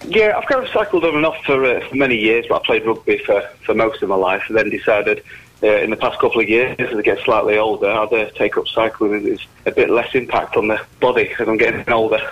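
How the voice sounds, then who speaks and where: A man's voice: fairly low pitch, quick pace. A middle-aged man, giving easygoing, informal interview answers and tips over a phone line. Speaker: firefighter, phone-in guest. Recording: muffled telephone line into radio.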